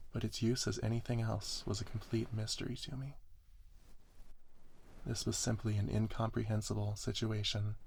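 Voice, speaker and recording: A man's voice: fairly low pitch, creaky. A man reading a first-person story aloud as scripted ASMR narration. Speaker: story reader.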